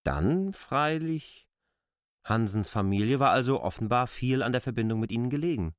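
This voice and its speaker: A man's voice: mid-range voice, animated, moderate pace, no room sound, clean studio sound, lightly acted. A man playing a shrewd, persistent newcomer in a literary radio drama, probing in formal, argumentative speech. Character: persistent, shrewd male newcomer questioning a landlady.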